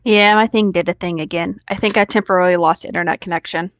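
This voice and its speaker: A woman's voice: fairly low pitch, steady tone, fast, no room sound. A woman co-hosting a podcast, talking casually and a little hesitantly over a slightly muffled online call. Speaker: podcast co-host. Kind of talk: casual group chat, hesitant phrasing.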